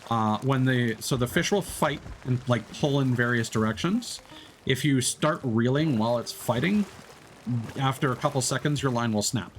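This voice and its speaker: A man's voice: medium pitch, moderate pace. Guy streaming a game, rambly and hesitant, slight hiss behind him. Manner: rambling, hesitant, many fillers and restarts. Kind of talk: casual gameplay chat. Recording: faint background noise.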